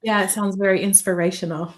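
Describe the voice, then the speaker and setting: A woman's voice: mid-range voice, fairly even intonation, fast. Upbeat woman hosting a live interview. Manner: upbeat, welcoming, brief acknowledgments. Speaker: podcast host.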